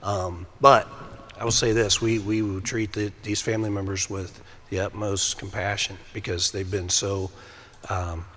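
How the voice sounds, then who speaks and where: Raspy-voiced male police sergeant, bright edgy tone, halting delivery, faint noise behind. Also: on the low side, level tone. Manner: halting, many ums and restarts. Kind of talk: emotional interview answers.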